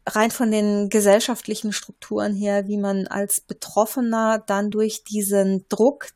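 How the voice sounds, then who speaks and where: A woman's voice: medium pitch, little pitch movement, unhurried, a little mellow. A woman in a casual panel discussion, speaking earnestly with some fillers.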